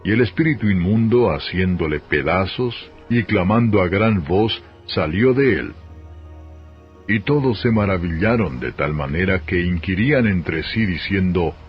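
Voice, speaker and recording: Deep-voiced Castilian man reading scripture, music behind. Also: animated, a little slow. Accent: Castilian Spanish. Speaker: Bible reader. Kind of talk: solemn scripted scripture narration. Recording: dull lo-fi audio, music behind.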